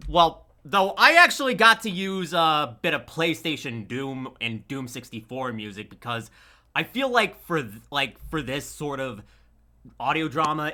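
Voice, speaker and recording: A man's voice: a little high, pushed and bright. A man chatting casually about his creative work, rambling and often restarting his sentences. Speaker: audio drama voice actor and editor. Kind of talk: casual chat.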